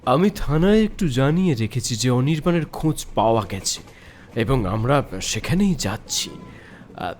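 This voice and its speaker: An actor playing an anxious elderly father in an audio drama, polite and deferential, with halting, stammering, apologetic speech. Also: mid-range voice, darkish tone, a little hiss or hum. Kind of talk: Bengali audio horror story acting.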